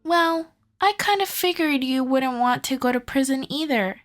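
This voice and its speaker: A woman's voice: high voice, normal speed, faint music behind, close to an everyday voice. A woman playing an obsessive, sweetly possessive young kidnapper in love, coaxing and doting in an ASMR audio roleplay. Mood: doting, reassuring. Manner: doting, coaxing, softly controlling.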